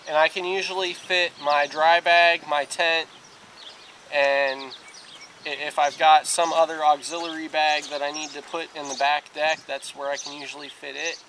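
A man's voice: on the high side, level tone, normal speed, bright, forceful tone. A man in his thirties talking casually and plainly, explaining things step by step for an outdoor vlog, recorded outside on a camera a few feet away. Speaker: outdoor vlogger. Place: outdoors, grassy yard and lakeside. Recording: outdoor camera with clear background noise.

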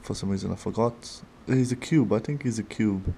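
A man with a non-native accent opening trading cards at a desk, talking casually and haltingly with small laughs. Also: fairly low pitch, mellow, slight background noise. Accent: non-native English. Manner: slow, halting, chatty with little laughs. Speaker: card-opening channel host. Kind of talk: casual card-opening commentary. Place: desk in a dim room.

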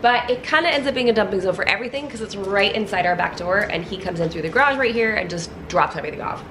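A woman's voice: mid-range voice, fast talker, background noise. Chatty woman cleaning at home. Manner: chatty, self-deprecating, laughs often. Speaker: lifestyle vlogger. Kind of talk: casual clean-with-me narration. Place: kitchen and entryway of a house, indoors.

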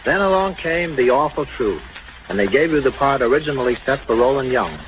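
A man's voice: medium pitch, lively intonation, a little fast. A man conducting a scripted interview on a 1930s radio show. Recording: muffled, noisy 1930s radio recording.

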